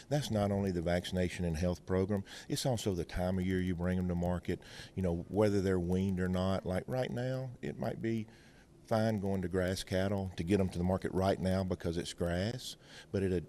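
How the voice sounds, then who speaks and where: A man's voice: sits low, dark tone, a little hiss or hum. A middle-aged man with a Mississippi Southern accent, explaining things in a folksy, plain-spoken way as an interview guest and veterinarian. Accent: Mississippi Southern American. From Mississippi, United States. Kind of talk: explanatory interview talk with anecdotes and analogies.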